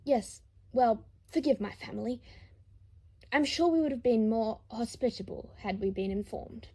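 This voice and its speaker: Woman voicing a stiffly polite, mellow-toned man. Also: fairly high pitch, normal speed, slightly rough. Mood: guarded politeness. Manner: formal, restrained. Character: composed, stiffly polite grown son, an attorney. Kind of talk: dramatised audiobook reading of fan fiction.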